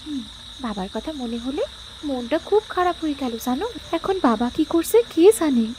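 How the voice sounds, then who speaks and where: A woman's voice: high voice, animated, moderate pace, dark tone, slight background noise. A woman playing an affectionate young daughter-in-law in a cartoon family drama. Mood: wistful. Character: affectionate young Bengali daughter-in-law, homely, gently complaining wife.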